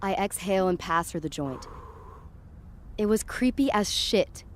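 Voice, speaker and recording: A woman's voice: medium pitch, expressive, measured pace, slight background noise, lightly acted. A woman playing a frightened, sceptical, foul-mouthed young woman in a first-person audio drama. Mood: uneasy, wry. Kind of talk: first-person present-tense audio drama narration and dialogue.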